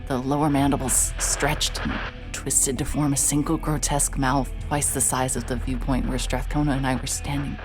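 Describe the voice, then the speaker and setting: An actress playing a hard-hearted, sleep-deprived woman private investigator in a horror audio drama, speaking in a weary, halting way. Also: rumbling low, flat delivery, low-quality recording. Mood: uneasy, weary. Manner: weary, halting, stammering under stress. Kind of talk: noir-like first-person audio drama narration. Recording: music behind the voice.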